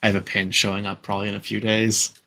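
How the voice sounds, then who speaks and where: Young male podcast host, low-ish voice with a bright edge, chatty and rambling, lots of "um" and false starts. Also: level tone, fast, vocal fry, slight background noise. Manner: rambling, lots of fillers and restarts. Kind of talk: casual chat. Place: at home.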